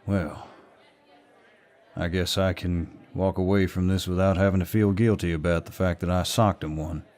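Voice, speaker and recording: A man playing a protective, dominant Southern gentleman in an audio roleplay, speaking politely but firmly with a Southern US drawl. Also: low-pitched. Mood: gentle, curious. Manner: polite, drawling, firm, old-fashioned courtesy. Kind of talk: roleplay audio acting, intimate and scripted.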